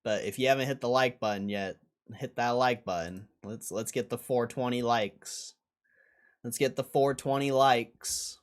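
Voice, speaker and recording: A man's voice: mid-range voice, steady tone, unhurried. A man hosting a livestream, chatting casually and informally with viewers in slangy, often repeated phrases. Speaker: livestream host. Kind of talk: casual livestream chat, reading out viewer comments.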